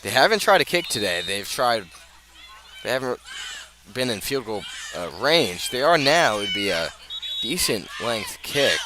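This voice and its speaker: A man calling live American football play-by-play for radio, moving between measured narration and raised, excited calls. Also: medium pitch. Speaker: play-by-play sports commentator. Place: outdoors at a high school football field. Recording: noisy outdoor stadium, live broadcast.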